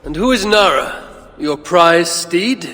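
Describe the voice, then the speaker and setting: An actor playing a stern, proud Norse god in a video game, speaking in a measured, formal way. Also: male voice, sits high, animated, bright, forceful tone, heavily acted. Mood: curious, composed. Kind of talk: video game cutscene acting. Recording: long hall reverb, clear background noise.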